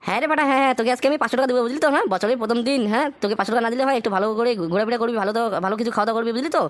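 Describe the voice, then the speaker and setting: A man voicing a fussy, coaxing father in an amateur comic cartoon, nagging repetitively in a pitched-up voice. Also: rapid-fire. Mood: placating. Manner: repetitive nagging with questioning tag words. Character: fussy, coaxing father rousing his foul-mouthed son.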